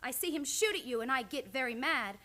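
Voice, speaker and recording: A woman plays a fiery, childlike frontier wife in a radio western. Her voice is high and bright with swooping intonation, in simple, exclamatory broken English. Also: lively intonation, brisk. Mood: proud, excited. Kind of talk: western radio drama acting.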